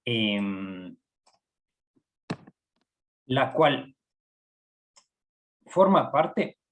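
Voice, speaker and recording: A middle-aged Ecuadorian man teaches a university training session, speaking in a measured, patient way. He pauses often and fills gaps with short hesitations. His voice sits at an ordinary middle pitch. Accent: Ecuadorian Spanish. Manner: measured, with frequent hesitations and fillers. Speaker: psychology professor giving a training session. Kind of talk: recorded online lecture.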